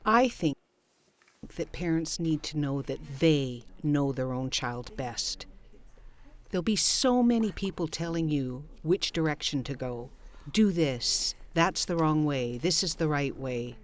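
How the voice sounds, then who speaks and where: A woman's voice: sits low, animated, a little slow. A woman, a mother, speaking earnestly and directly to other parents. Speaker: mother sharing her experience. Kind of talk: personal testimonial to camera.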